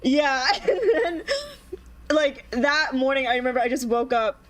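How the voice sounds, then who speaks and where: A woman's voice: high voice, fairly even intonation, a little slow. A young woman telling a long story in casual chat with friends, rambling with lots of ums and laughing as she goes. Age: young adult. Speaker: podcast co-host.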